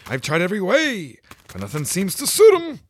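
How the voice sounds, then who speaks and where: A man voicing an agitated, fussy female pigeon guarding her nest, who scolds loudly. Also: wildly swooping pitch, normal speed, heavily acted. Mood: scolding. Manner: shrill, scolding, loud.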